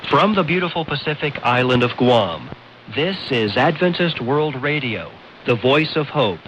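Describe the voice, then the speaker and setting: Male announcer, mid-range voice, scripted station ID on shortwave radio with clear guitar music underneath. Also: medium pitch, very animated, unhurried, highs cut off.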